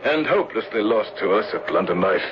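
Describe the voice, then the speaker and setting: Give me an actor as a deep-voiced, earnest English gentleman avenger. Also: expressive, lightly acted. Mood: guarded, terse. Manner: measured, formal, deliberate. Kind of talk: 1970s radio mystery acting with first-person narration. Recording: dull, noisy old radio broadcast.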